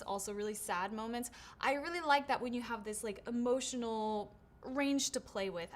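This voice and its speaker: A woman voice actor hosting her own livestream Q&A. She reads viewer questions aloud and answers in a chatty, upbeat way, with lots of "right?" and "um". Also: a little high. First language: English. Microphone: shotgun studio microphone.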